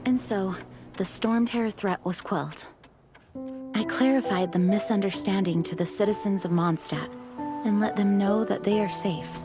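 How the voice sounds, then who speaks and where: A calm female knight commander, voiced by a woman, with a mid-pitched voice and a measured, reassuring delivery. She sounds composed and dutiful, steady in every word. A loud orchestral score plays behind her. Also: music in the background, lightly acted. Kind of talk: video game dub acting.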